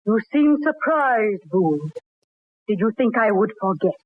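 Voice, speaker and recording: An actress playing a solemn ancient empress spirit, speaking in stately, formal old-time radio drama style. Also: female voice, mid-range voice, animated. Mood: solemn, commanding. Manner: stately, formal, measured declamation. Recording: tinny band-limited old radio recording.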